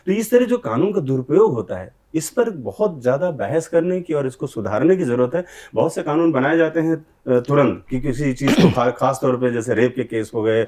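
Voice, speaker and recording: Middle-aged guy in an interview, earnest and aggrieved, keeps piling up accusations in long run-on sentences, mid-pitched voice. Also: expressive, normal speed, hardly any pauses, darkish tone. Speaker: film director, interviewee. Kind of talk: interview answers.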